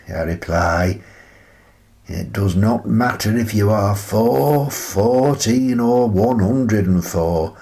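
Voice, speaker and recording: An actor playing a gentle, wistful, eccentric old British grandfather in a radio play monologue, rambling reflectively with hesitant pauses. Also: deep voice. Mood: tender. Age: older.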